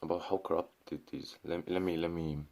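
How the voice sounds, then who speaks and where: A young man from Syria rants to his camera with a very low voice. He talks casually and loosely, tripping over restarts and fillers, dropping swear words and "bro" as he goes. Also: level tone, brisk, a little mellow. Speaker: vlogger. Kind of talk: casual ranting monologue.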